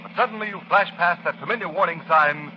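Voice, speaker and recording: A man's voice: sits high, fast, a little hiss or hum. A man announcing a scripted commercial in the style of 1930s radio. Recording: muffled 1930s radio recording.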